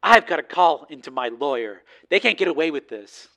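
A man's voice: fairly high pitch, lively intonation, a little fast, pushed and bright. A man voicing a determined female TV news reporter in an audiobook, earnest and insistent in manner.

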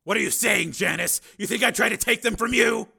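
A man voicing Steele, a stern, forceful man who has become a machine, shouting and insisting in a dramatized audiobook. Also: high voice, animated, normal speed, creaky, breathy edge, pitched above the performer's own voice, heavily acted. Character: hard-edged adult man turned machine, stern.

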